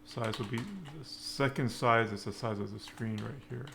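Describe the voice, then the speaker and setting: A man giving an unscripted coding tutorial, thinking aloud in halting, short fragments. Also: mid-range voice, vocal fry. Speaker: programming tutorial instructor. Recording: noisy with keyboard typing. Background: computer keyboard typing.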